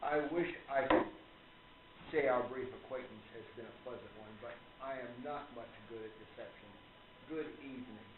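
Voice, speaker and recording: Man playing a dignified, fatherly older gentleman, roomy sound. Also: mid-range voice, moderate pace, narrow, tinny audio, natural voice. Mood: cool, formal. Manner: formal, scolding, emphatic. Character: dignified, fatherly older writer, wounded and reproachful. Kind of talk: radio play acting. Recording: roomy amateur recording with hiss.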